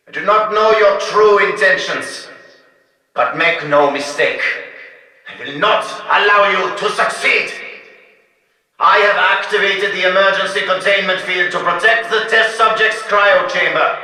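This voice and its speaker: Stern scientist: theatrical game role, suspicious and formal, forceful edge, distant with heavy echo. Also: male voice, high-pitched, exaggerated voice. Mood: outraged. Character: stern, indignant German scientist, pompous and suspicious. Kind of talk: dramatic game voice acting. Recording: very echoey, distant hall reverb.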